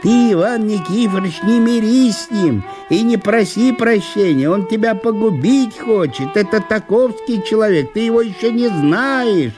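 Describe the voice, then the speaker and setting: A man's voice: high voice, animated, moderate pace, hardly any pauses, music in the background, voice pushed up. A man voicing a bossy, scheming village woman who urgently gives advice, in a literary radio play.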